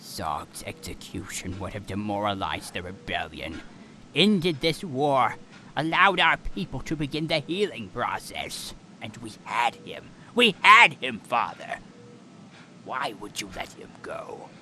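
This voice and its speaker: An actor playing a bitter, zealous alien warrior prince with an intense, measured dramatic delivery in a comic dub. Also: male voice, medium pitch, animated, bright, forceful tone, slight background noise. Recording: dramatic music over the voice.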